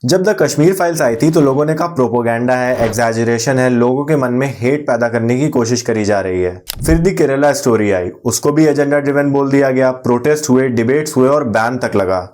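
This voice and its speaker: A man's voice: medium pitch, brisk, hardly any pauses, a little mellow. A man with an Indian accent delivering scripted opinion commentary as a video presenter, addressing viewers directly with rhetorical questions.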